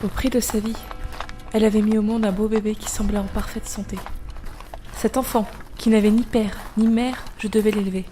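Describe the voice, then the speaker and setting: An actress playing a devoted young elder sister in an audio drama, telling of her past in a measured, earnest, literary way. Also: mid-range voice, flat delivery, music in the background, close to an everyday voice. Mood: wistful, sober. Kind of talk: audio drama acting and storytelling.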